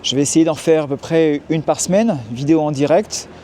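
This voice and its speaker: Man in his forties from France, warm voice, calm vlogger on a city street. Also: a little high, a little fast, a little hiss or hum. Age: 40s. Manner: calm, explanatory, frequent hesitations. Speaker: YouTuber and tour guide. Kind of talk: casual to-camera vlog.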